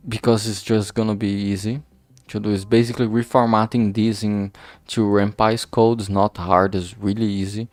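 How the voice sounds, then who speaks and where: A man talking casually and haltingly in a rambling vlog, informal and self-deprecating, with some swearing. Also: fairly low pitch, darkish tone, no echo. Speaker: indie visual novel creator and vlog host. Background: background music.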